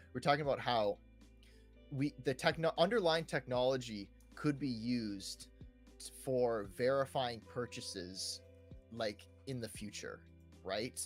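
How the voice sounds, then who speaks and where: A young man talks casually as a podcast co-host, in a mid-pitched voice. He is hesitant, doubling up words and often tagging on little checks for agreement. Soft music plays behind him. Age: young adult. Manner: hesitant, repeats words, often seeks agreement with "right?" and "you know". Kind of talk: casual chat about video games.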